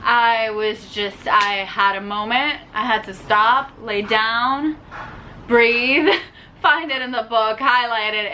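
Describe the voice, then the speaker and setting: A woman's voice: mid-range voice, bright, forceful tone, slight background noise. A young woman whose first language is Spanish, chatting casually and ranting animatedly about a book, with lots of fillers. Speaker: book vlogger. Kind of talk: casual book review chat.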